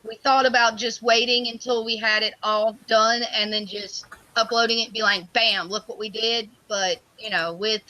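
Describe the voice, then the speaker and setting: Woman, loud, bright, dry close sound, casual stream chat, computer mic. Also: a little high, no room sound, rough recording. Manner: loud voice, says so herself. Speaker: community project lead. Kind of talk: casual chat while streaming art work. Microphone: computer microphone on a voice chat.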